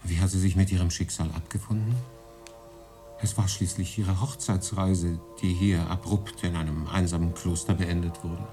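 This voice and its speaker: A man playing a thoughtful, inquisitive gentleman in a radio drama, reflective and polite. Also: sits low, steady tone, moderate pace, over music, natural voice. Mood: curious, reflective.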